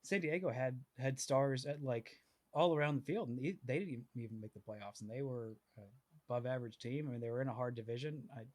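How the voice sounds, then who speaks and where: A man's voice: medium pitch, brisk, a little mellow. A man hosting a sports podcast, talking casually with lots of fillers and restarts. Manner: rambling, filler-heavy, restarting. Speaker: podcast host.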